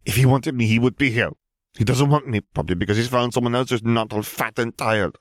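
A man's voice: sits low, a little fast, no echo, clean studio sound. A man voicing a jealous, hot-tempered pregnant young woman, part bird, who sounds sulky and accusing. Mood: furious, jealous.

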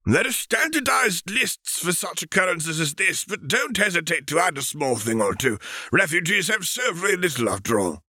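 A man's voice: fairly high pitch, big pitch swings, moderate pace, sharp tone, husky, no echo, clean studio sound, pitched above the performer's own voice, full character voice. A man voicing a stately reptilian alien Jedi master in a fan fiction reading, speaking formally and courteously. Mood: courtly, formal.

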